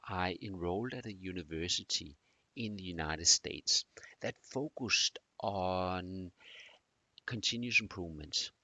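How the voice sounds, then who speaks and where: A middle-aged man from Denmark with a Danish accent, introducing a course in a halting way with many fillers. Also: low-pitched, bright, forceful tone, breathy edge, no room sound. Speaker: teacher.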